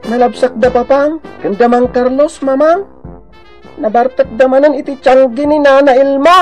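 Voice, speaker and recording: A woman's voice: high-pitched, normal speed, faint music behind, lightly acted. A woman voicing a young schoolchild of a poor family in a radio drama, pleading and reluctant. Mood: tattling.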